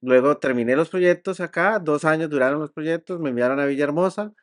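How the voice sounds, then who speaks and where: A man in his forties with a Colombian accent, a guest on a podcast, talking in a measured, reserved way and pausing to find his words. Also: on the high side. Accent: Colombian Spanish. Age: 40s. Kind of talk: casual interview chat.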